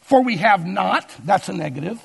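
A male pastor preaching a sermon with deliberate, emphatic stresses, drawing words out slowly for weight. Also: high voice, animated, creaky, slight background noise. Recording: long hall reverb.

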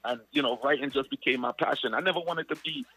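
A man from Guyana with a Guyanese accent and a light Jamaican lilt, telling stories in a relaxed, casual interview as a guest musician. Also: mid-range voice, quick pace, breathy edge, soft background music, poor audio. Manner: laid-back, storytelling, repeats words as he restarts.

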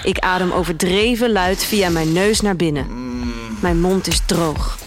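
An actress playing an introspective young woman who narrates her life in a dystopian future, in measured, deliberate first-person literary storytelling. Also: lowish voice, background noise, lightly acted. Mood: detached, tense. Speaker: narrator of a radio drama. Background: music.

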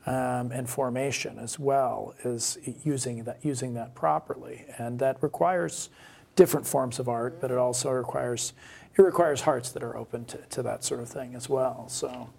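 Male podcast co-host, husky and gravelly, halting conversational delivery. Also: medium pitch, steady tone, a little mellow. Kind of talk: casual discussion.

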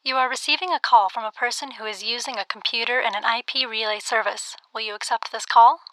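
A bright, formal relay operator. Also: female voice, fairly high pitch, brisk, no echo, low-quality recording. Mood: formal, procedural. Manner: formal recitation of typed messages. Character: formal, long-suffering relay-service phone operator woman. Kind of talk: audio drama phone operator.

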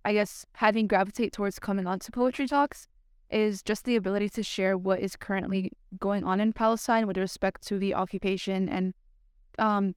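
Young American female student guest, earnest and articulate, smooth tone, dry recording. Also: medium pitch, no echo. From United States. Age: young adult. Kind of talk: podcast guest chat and poetry reading.

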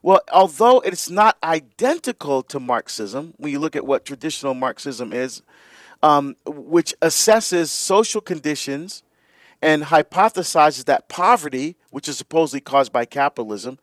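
Relaxed talk-radio host, higher voice. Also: male voice, moderate pace, no room sound. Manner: relaxed explaining with fillers and laughs.

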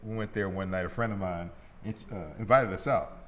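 A man hosting a casual interview, telling stories in an animated way and acting out what people said. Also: deep voice, a little fast, a little hiss or hum. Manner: animated storyteller, acts out quotes. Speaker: podcast host. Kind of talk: casual conversation.

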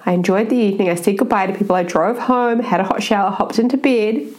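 Woman with a stuffy, blocked-up voice, mid-pitched, giving a sincere motivational talk. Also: fast, darkish tone. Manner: earnest, keeps adding "right?". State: congested, blocked-up nose. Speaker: self-help speaker.

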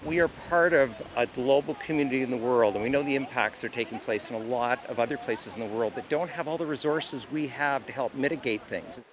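A man's voice: mid-range voice, fairly quick, hardly any pauses. A man answers interview questions earnestly, hesitant at first and then steadier. He is recorded outdoors.

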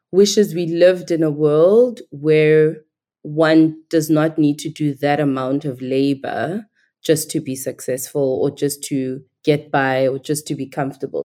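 A woman from Nigeria speaks as a podcast guest in a low, warm and mellow voice. She talks in a thoughtful, articulate and earnest way, with a measured, reflective rhythm. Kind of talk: reflective conversational interview.

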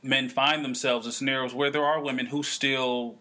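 A young man with a bright, forceful voice chats as a co-host. He thinks aloud, circling back with restarts and fillers as he works out each point. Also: medium pitch, level tone. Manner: halting, many restarts and fillers. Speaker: podcast co-host. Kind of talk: casual conversation.

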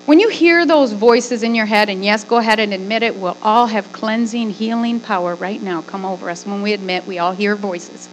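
A woman's voice: medium pitch, moderate pace, few pauses, faint music behind, low-quality recording. A middle-aged woman preaching conversationally as a pastor, with emphatic, folksy delivery, rhetorical questions and repeated phrases. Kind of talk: conversational sermon with acted-out asides.

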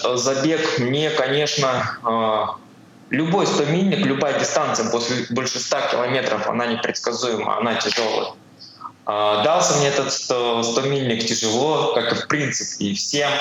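Halting man in a very echoey room. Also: mid-range voice, fairly bright tone. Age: 40s. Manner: halting, thinks aloud in broken phrases. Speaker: podcast guest, amateur barefoot ultrarunner. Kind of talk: casual interview answers, personal storytelling. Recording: very echoey room, faint background noise.

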